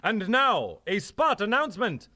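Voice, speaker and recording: Radio drama: a chirpy vintage station announcer, extremely high and swooping, through a small, very noisy radio. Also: male voice, big pitch swings, a little slow. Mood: bright, formal. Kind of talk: old-time radio announcing.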